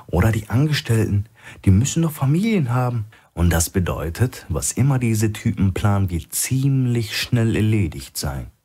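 Wary, husky male hostage, read by a man. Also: on the low side, mellow, pitched above the performer's own voice, heavily acted. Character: wary, evasive male hostage, reasoning things out.

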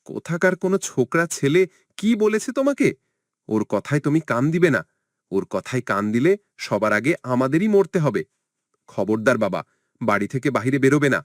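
A poor, anxious village father from a cartoon, played by a man, laments his lot and scolds sternly. He sounds fatalistic, with a middling pitch and a dry, echo-free sound. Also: very animated, normal speed, close to an everyday voice. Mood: fearful, scolding.

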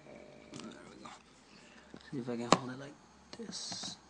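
A man's voice: medium pitch, wildly swooping pitch, measured pace, vocal fry, heavy background noise. A man talking through a casual computer how-to while filming a laptop screen, spelling out commands letter by letter. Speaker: tutorial maker. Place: indoors at a desk with a laptop. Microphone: handheld camera filming the screen.

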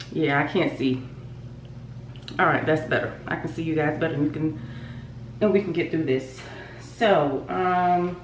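A woman in her thirties with a stuffy, congested nose chats loosely to her viewers, rambling from one thought to the next. Her voice sits low for a woman. It rings in a roomy bathroom, a bit away from the camera mic. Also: far from the mic, slight background noise. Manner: chatty, rambling, talks straight to viewers. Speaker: vlogger. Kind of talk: casual chat to camera. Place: home bathroom.

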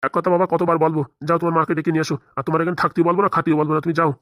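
A man's voice: a little high, level tone, rapid-fire, a bit bright, no room sound, clean studio sound, natural voice. A man playing a stern, protective middle-aged father-in-law who lectures insistently in a comic cartoon. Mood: scolding. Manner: insistent, lecturing, rhetorical questions. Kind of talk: comic cartoon drama dialogue.

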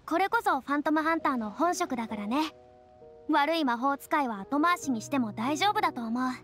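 A woman's voice: high voice, lively intonation, brisk, fairly bright tone, soft background music. A woman playing a self-assured young lady with an airy, boyish way of speaking in a video game. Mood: relaxed confidence. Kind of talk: scripted game dialogue.

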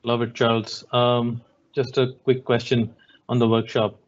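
A man's voice: mid-range voice, monotone, measured pace. A man moderating an online event, putting questions in an informal, conversational way. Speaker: moderator relaying audience questions. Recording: slightly dull online call audio.

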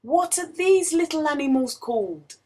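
A woman reading a picture book aloud to young children in an expressive, questioning storytelling manner. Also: high-pitched, moderate pace, soft background music. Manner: expressive, exclamatory, asks the listener questions.

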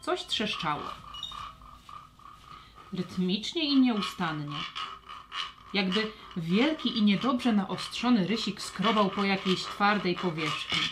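A woman narrating a scripted children's audio story with expressive, storybook delivery. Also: mid-range voice, noisy background.

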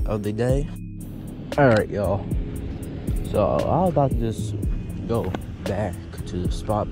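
A young man casually talking viewers through a day of pond fishing, filmed on a head-mounted action camera outdoors. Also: lowish voice. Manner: laid-back, talks to the fish and viewers. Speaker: fishing vlogger. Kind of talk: casual first-person fishing commentary. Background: background music.

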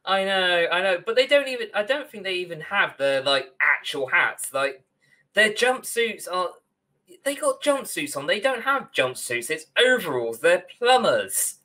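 A man's voice: high voice, sing-song, unhurried, pushed and bright. A young man co-hosting a podcast over a video call, ranting in a casual, emphatic, mildly sweary way. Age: young adult. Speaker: podcast co-host. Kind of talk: casual opinionated discussion. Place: indoor room, video call.

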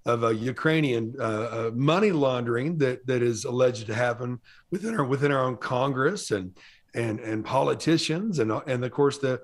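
A middle-aged man hosts an interview from a small home studio, speaking into a boom-mounted mic. He talks fast and with energy, restarting words as he pushes his questions. His voice sits at a middle pitch. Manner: animated, quick, stumbling over words. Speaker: host.

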